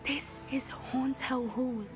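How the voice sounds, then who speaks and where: A woman's voice: sits high, fairly even intonation, takes it slowly, music in the background. A woman playing a bold, earnest teenage witch in a video game, with an urgent, conspiratorial manner. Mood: indignant, urgent. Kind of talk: video game cutscene acting.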